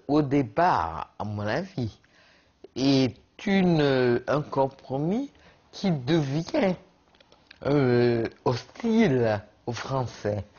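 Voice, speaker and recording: A woman with a low, husky voice who speaks very slowly, pausing with hesitations as she thinks things through. Her pitch rises and falls widely. The sound is slightly muffled. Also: darkish tone. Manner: thoughtful, hesitant, measured. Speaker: interviewee. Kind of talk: documentary interview.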